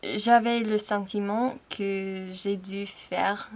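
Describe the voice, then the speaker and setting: Young woman, American accent, native English speaker, learner French, unhurried delivery, careful and hesitant, small self-corrections, home vlog on a camera mic. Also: mid-range voice, steady tone. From United States. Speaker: language-learning YouTuber.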